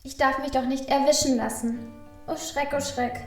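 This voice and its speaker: A woman's voice: high-pitched, steady tone, normal speed, reverberant, faint music behind. A woman playing a small, fretful, guilty male Christmas elf who stammers in a children's radio play. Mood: flustered. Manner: fretful, stammering, repeating exclamations of dismay.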